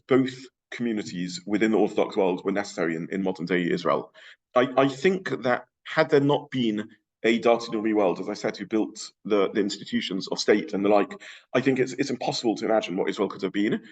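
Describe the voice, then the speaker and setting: A middle-aged man with a London accent giving an informal lecture, thinking aloud with frequent restarts. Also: mid-range voice. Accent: London English. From England.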